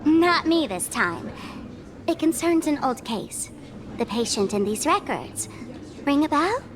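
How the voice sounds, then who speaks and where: A gleeful high-pitched trickster girl. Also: sing-song, a little slow, a crowd in the background. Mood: scheming. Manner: teasing, playful, sing-song. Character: mischievous young female trickster, gleeful and theatrical. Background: game music.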